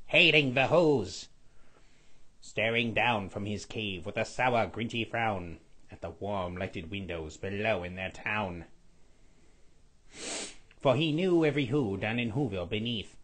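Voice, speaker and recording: A man voicing a scheming skeletal villain lord who reads a storybook aloud with a raspy, sniffly voice, stretching out repeated words. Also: lowish voice, lively intonation, a bit bright, full character voice. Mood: gloating. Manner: sing-song storybook reading, drawn-out repeated words.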